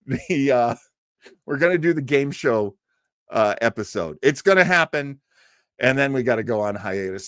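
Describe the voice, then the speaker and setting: A man hosts a casual live show in a dry, close-sounding space. His mid-pitched voice moves up and down animatedly as he rambles along to his viewers. He is halting and self-deprecating, and laughs easily. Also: no room sound. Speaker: host of a live old-time radio show stream.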